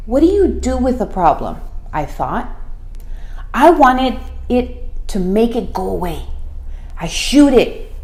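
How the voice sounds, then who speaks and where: A woman with a fairly high voice reads aloud to children in a roomy, echoing space. She goes slowly and deliberately, with expression, like a principal sharing a picture book with young pupils. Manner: expressive, deliberate, asks listeners questions. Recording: roomy, echoey room sound.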